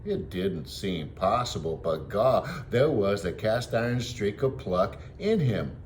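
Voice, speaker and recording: A man, a teacher, reading a children's storybook aloud in an expressive way. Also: on the low side, slow, slight background noise.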